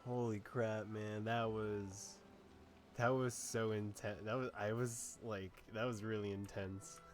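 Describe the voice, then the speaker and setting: Slow-paced man reacting to a game. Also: lowish voice, level tone, slight background noise. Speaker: game streamer. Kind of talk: casual reactions while playing a game.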